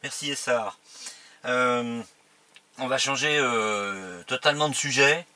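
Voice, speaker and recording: A man hosting a political interview, speaking off the cuff and hesitantly, pausing between phrases. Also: medium pitch, pushed and bright. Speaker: interviewer and host.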